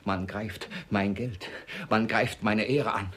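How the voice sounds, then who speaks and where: A man's voice: lowish voice, fairly even intonation, slow, a bit bright, a little hiss or hum. An actor playing an indignant, self-pitying old miser father in a radio comedy, spluttering and stammering theatrically. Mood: aggrieved, self-pitying. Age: older. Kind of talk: classic stage comedy radio acting.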